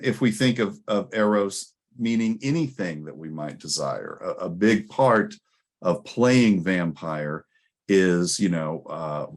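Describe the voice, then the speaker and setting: Low-voiced man giving an academic presentation. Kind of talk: conference presentation, explanatory lecture.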